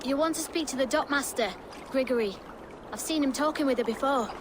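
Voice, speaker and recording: A woman's voice: high voice, fairly quick, lightly acted. A woman voicing a small, helpful, chatty child in a video game, artless and thoughtful. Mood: earnest, helpful. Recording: noisy waterside outdoor ambience.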